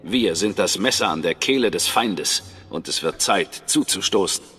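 A man's voice: low voice, lively intonation, moderate pace, pushed and bright, husky, exaggerated voice. A man voicing a suave, menacing English intelligence officer with formal, mocking politeness in a German video game. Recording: reverberant hall, music behind.

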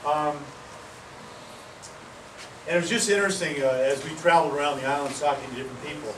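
A man from Maine giving a casual talk outdoors, with many fillers and pauses. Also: on the high side, a bit bright. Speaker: presenter. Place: outdoor pavilion among palm trees. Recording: roomy, distant, noisy outdoor sound.

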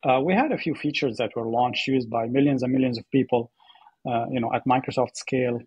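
A man from Syria with a very husky, breathy voice talks as an interview guest. He tells his story conversationally and haltingly, repeating words as he goes. The sound is close and dry. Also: mid-range voice, a little mellow, no room sound, muffled recording. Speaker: podcast guest, AI startup co-founder.

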